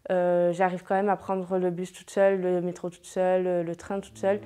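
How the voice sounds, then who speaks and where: A woman's voice: lowish voice, completely flat delivery, moderate pace. A young woman in her twenties from France, a para-judo athlete, giving cheerful interview answers. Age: 20s.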